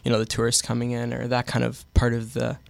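A man's voice: medium pitch, monotone, fast, vocal fry, darkish tone, no echo. A man hosting a radio show, asking curious, conversational questions. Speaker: radio host. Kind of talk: radio interview. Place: radio studio.

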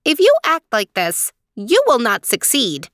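Woman voicing a high-pitched little talking mouse. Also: very animated, a little slow, fairly bright tone, no echo, clean studio sound. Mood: warning. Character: small helpful talking mouse in a folktale.

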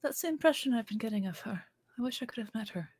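A man voicing a soft-spoken companion who talks in a breathy whisper. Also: very high-pitched, lively intonation, quick pace, no room sound, voice pushed up, exaggerated voice. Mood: hushed.

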